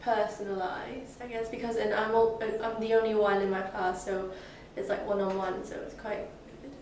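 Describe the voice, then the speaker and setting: A woman's voice: medium pitch, level tone, normal speed, darkish tone. A seventeen-year-old girl from Hong Kong, a summer school student, answering interview questions with many hesitant fillers. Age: teens. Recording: echoey room, distant mic, faint noise.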